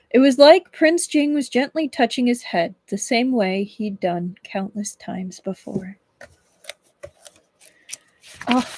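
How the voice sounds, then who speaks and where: A woman livestreaming as a book reader, with expressive storybook narration and casual chat. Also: on the high side, no echo.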